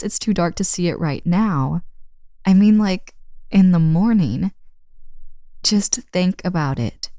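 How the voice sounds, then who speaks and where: A woman's voice: fairly low pitch, mellow, no echo, clean studio sound. A woman playing a friend's friendly, teasing older sister in a casual, intimate roleplay audio drama.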